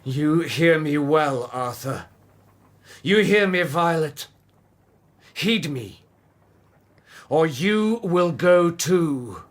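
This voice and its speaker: A man's voice: a little high, animated, very slow, pauses often. An actor playing a stern, unforgiving father in a radio drama, speaking with solemn command. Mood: threatening. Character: stern, unforgiving father forbidding his daughter's name.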